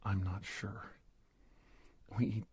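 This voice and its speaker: A man playing an earnest, hesitant secret-agent doctor in a soft-spoken ASMR roleplay, halting, confiding and gently coaxing. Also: lowish voice, little pitch movement, slightly rough, full character voice. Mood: hushed, confiding.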